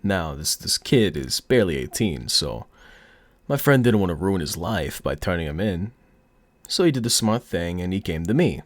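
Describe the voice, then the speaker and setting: A man's voice: low-pitched, big pitch swings, normal speed, no room sound. A man playing a cocky, flirty, menacing mafia boss in an audio roleplay, with a put-on mobster accent and a smug, teasing drawl. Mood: smug, businesslike.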